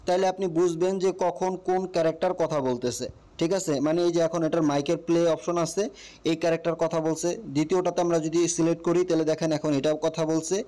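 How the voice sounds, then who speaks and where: A man's voice: on the high side, steady tone, quick pace, warm tone. A man with a Bangladeshi accent hosting a casual step-by-step app tutorial, explaining and often checking that the listener follows. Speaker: tutorial host.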